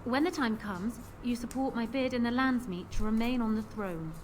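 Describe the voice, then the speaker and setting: A woman playing a proud, poised young queen in a fantasy video game, speaking in a regal, formal and deliberate manner. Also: fairly high pitch, background noise, lightly acted. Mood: determined.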